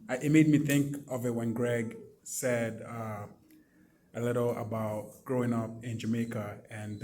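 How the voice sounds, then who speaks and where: A man's voice: medium pitch, a little mellow, slight background noise. Thoughtful man from Ghana. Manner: thoughtful, hesitant, with frequent fillers. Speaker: panel participant. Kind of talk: discussion panel.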